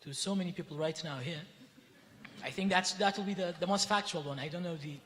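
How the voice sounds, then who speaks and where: A young male student from Iraqi Kurdistan introduces himself, somewhat nervous. His voice sits a bit high, with long reverb from a concert hall. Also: brisk, fairly bright tone, slight background noise. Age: young adult. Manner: nervous, laughing lightly. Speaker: student panelist.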